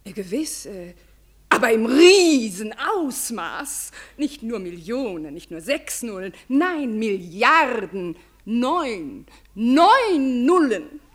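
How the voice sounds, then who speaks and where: Gossipy noble lady in a german radio play, high swoopy voice, gushing and deferential, stretches words for drama. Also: very animated, heavily acted. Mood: dramatic, impressed. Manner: effusive, dramatic storytelling with emphatic drawn-out words. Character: gossipy, deferential aristocratic young lady informing a prince.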